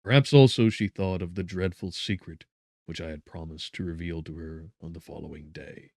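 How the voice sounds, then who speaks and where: A man narrating a literary audiobook in a solemn, theatrical manner, with deliberate, emphatic phrasing. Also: deep voice, fairly even intonation, vocal fry, darkish tone, no room sound, clean studio sound.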